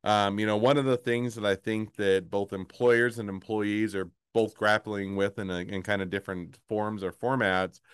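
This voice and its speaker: A man hosting a podcast interview, talking in a halting way with many fillers and restarts. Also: fairly low pitch, fairly even intonation, clear, resonant tone, no room sound, studio quality. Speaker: podcast host.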